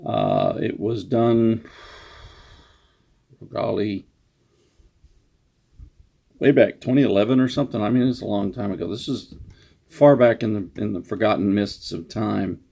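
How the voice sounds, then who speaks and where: A man speaking casually in a room, introducing their own writing in a halting, meandering, self-deprecating way. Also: on the low side, big pitch swings, gravelly, dark tone. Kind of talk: casual rambling vlog intro. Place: indoor room.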